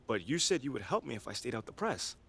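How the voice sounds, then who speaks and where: Animated young male basketball star. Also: mid-range voice, quick pace, vocal fry, breathy edge, heavily acted. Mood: guarded. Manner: casual, slangy, hesitant asides. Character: confident young pro basketball player, slangy and laid-back. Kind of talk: naturalistic video game cutscene acting.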